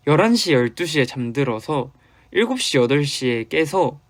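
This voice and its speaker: A young man from Busan with a light Busan accent, chatting casually with fans on a livestream and answering their comments. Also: a little high. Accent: Busan Gyeongsang Korean, light. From Busan, South Korea. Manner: chatty, loose and playful, with sudden drops to quiet murmurs. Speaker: idol hosting a fan livestream.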